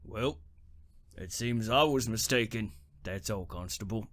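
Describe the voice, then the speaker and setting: A man's voice: on the low side, measured pace, a bit bright. A man playing a plain-spoken old working-class cemetery worker in a radio drama, wry and colloquial. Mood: apologetic.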